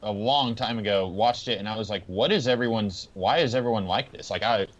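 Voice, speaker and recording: A man's voice: lowish voice, brisk, dull audio. A man chatting casually as a podcast panelist, rambling a little and interrupting himself as he goes.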